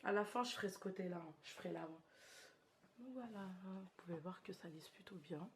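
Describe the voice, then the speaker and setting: A woman's voice: on the low side, brisk, a little hiss or hum. A woman with a stuffy head cold, giving a chatty, slangy hair tutorial to her viewers while she demonstrates. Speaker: beauty vlogger. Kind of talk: casual hair tutorial chat.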